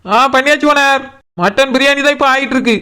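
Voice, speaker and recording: A woman acts out an overworked young cartoon cook with a high, bright voice. She whines and pleads at a rapid pace, with a colloquial Kanyakumari Tamil accent. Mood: explaining, defensive. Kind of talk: comic cartoon dialogue.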